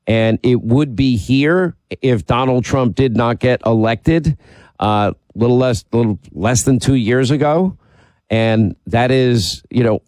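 A man's voice: lowish voice, a little mellow, dry recording. A middle-aged man with a head cold, hosting a radio talk show and chatting in a rambling, self-deprecating way. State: has a cold, congested. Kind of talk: casual on-air banter and commentary.

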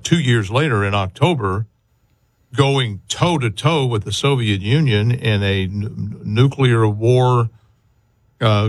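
A man's voice: medium pitch, no echo. Male interview host, dry close sound. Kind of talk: conversational political interview.

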